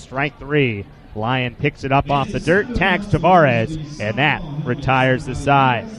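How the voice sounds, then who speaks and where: A man in his twenties doing live baseball play-by-play for radio, in a measured, descriptive way with statistics between pitches. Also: fairly bright tone. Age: 20s. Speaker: play-by-play sportscaster.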